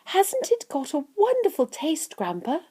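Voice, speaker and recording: A woman voicing an earnest, gentle young boy full of wide-eyed wonder in a storybook reading. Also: very high-pitched, very animated, slow, voice pushed up, full character voice. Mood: hushed wonder.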